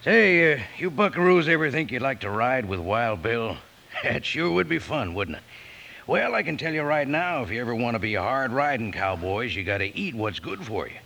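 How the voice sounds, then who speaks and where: A man's voice: medium pitch, big pitch swings, moderate pace, vocal fry, sharp tone. A man delivering a hearty, folksy cowboy-style 1950s radio advertisement aimed at children. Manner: folksy, hearty cowboy talk addressed to kids. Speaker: commercial announcer.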